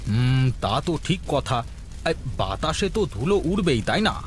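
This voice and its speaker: A man's voice: mid-range voice, very animated, normal speed, slight background noise, lightly acted. A man voicing a flustered, coaxing, henpecked village husband in a comic folk-tale cartoon, with exaggerated exclamations. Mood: exasperated.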